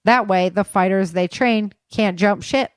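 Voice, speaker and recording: Woman voicing a male military major for an audiobook: flat, wry, teasing, dryly recorded. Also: mid-range voice, measured pace, smooth, no echo, close to an everyday voice. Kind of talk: audiobook character dialogue.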